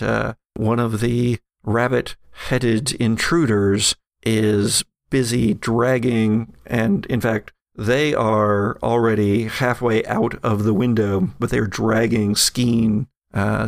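A man narrating a horror tabletop game as game master, describing scenes in a halting, improvised way. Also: on the low side, vocal fry, no room sound, clean studio sound.

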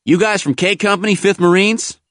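A man voicing a brisk, anxious military tractor driver in a war audiobook, with blunt, slangy, urgent delivery. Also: high-pitched, fairly even intonation, a bit bright, no echo, studio quality, voice pushed up. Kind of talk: audiobook character dialogue.

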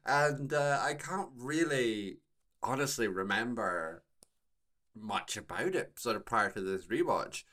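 A man's voice: medium pitch, animated, slow, pushed and bright. A middle-aged man hosting a podcast in casual chat, wordy, with mock-formal turns of phrase. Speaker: podcast host.

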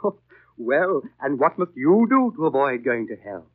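An actor playing a pompous, sanctimonious school overseer, preaching sternly in 1930s radio drama style. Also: male voice, medium pitch, big pitch swings, normal speed, husky. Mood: shocked, preachy. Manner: pompous, preachy, exaggerated swoops. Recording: muffled vintage 1930s radio recording.

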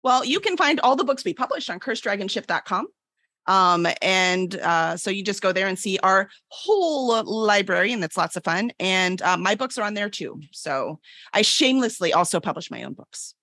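A woman talks casually as a podcast guest. Her medium-pitched voice moves up and down with a lot of animation, and it is recorded dry with no room sound. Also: big pitch swings, normal speed, sharp tone, dry recording. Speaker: podcast guest, book publisher. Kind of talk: casual chat.

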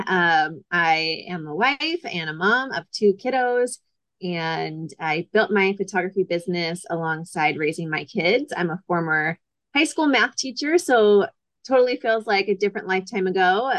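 A woman in her thirties from Connecticut, talking as a guest in a casual interview, relaxed and chatty with frequent ums. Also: on the low side, dry recording. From Connecticut, USA. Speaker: guest, photographer.

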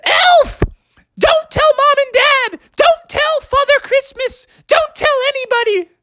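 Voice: very high voice, slow, sharp tone, voice pushed up, full character voice. A storyteller voicing an anxious, guilty young boy frog in a pleading, exclamatory way, as in a children's story.

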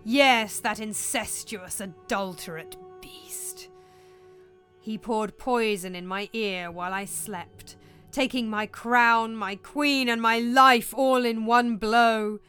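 Woman voicing a slow, anguished ghost of a murdered king. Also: fairly high pitch, fairly bright tone, clear, resonant tone. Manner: slow, drawn-out, solemn intoning.

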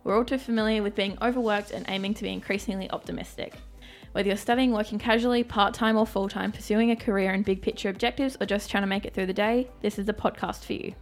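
A young woman co-hosting a podcast, measured and thoughtful. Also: mid-range voice, steady tone, soft background music. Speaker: podcast co-host. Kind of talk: scripted podcast intro and chat.

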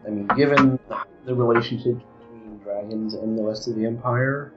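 A man's voice: medium pitch, fairly even intonation, moderate pace. A man playing a tabletop game, chatting casually with a wry, discursive manner. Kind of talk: casual group chat. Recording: roomy muffled audio, music bed. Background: music.

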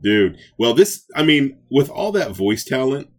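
A man's voice: medium pitch, very animated, measured pace. A man co-hosting a podcast, talking casually and enthusiastically about nerd news with playful joking. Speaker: podcast co-host.

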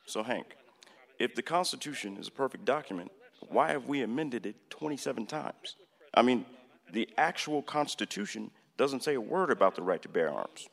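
An actor playing a wry, genial American president who argues persuasively and jokingly in a radio play. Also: male voice, medium pitch, expressive, moderate pace, creaky, a bit bright. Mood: debating, wry. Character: wry, genial male American president debating gun laws. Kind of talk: conversational stage-style radio drama acting. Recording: reverberant hall-like room.

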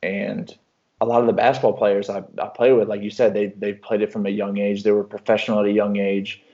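A young man from Illinois answers interview questions in an easygoing, meandering way, circling back and restarting his thoughts. His voice sits fairly low. The sound is a bit dull and lo-fi. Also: a little fast. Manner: relaxed, rambling with many restarts and fillers. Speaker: professional basketball player.

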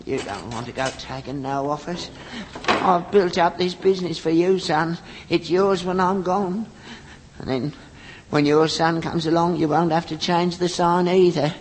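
A man's voice: fairly high pitch, measured pace, natural voice. An actor playing a crotchety old London rag-and-bone man in a British sitcom, a whining, melodramatic father. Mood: wheedling. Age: older. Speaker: actor in a comedy. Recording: reverberant, noisy old sitcom recording.

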